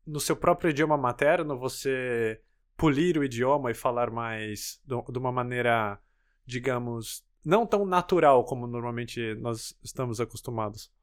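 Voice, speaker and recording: Brazilian guy host, neutral brazilian accent, mid voice, measured and a bit searching. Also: mid-range voice. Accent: neutral Brazilian Portuguese. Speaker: podcast host. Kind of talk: interview questions.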